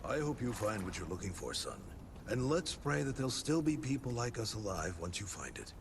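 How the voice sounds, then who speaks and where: An actor playing a kindly older frontier priest in a video game cutscene, gentle, folksy and unhurried in a preacherly way. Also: male voice, fairly low pitch, very animated, vocal fry, background noise. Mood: solemn.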